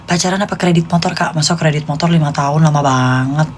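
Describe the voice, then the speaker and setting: A woman's voice: low-pitched, fast talker, a little hiss or hum. A woman in her late twenties with a Jakartan Indonesian accent, chatting casually and confidingly to live stream viewers in informal slang. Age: late 20s.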